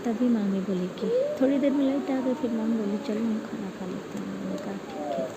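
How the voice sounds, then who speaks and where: A woman reading a first-person story aloud in a halting way, stumbling and correcting herself as she goes. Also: on the high side, fairly even intonation. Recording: clearly noisy ambient background.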